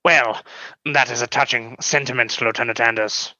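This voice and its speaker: A man acts an aloof, proud alien officer for a sci-fi radio play. His voice is very bright and forceful at a middling pitch, with formal, clipped and dismissive phrasing. The audio is slightly dull. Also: steady tone, normal speed. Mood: haughty, dismissive. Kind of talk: sci-fi radio drama dialogue.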